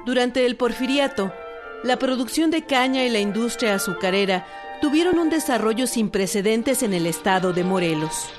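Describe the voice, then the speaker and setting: A woman's voice: on the high side, normal speed, over music. A woman with a Mexican accent delivering scripted historical narration for a radio drama.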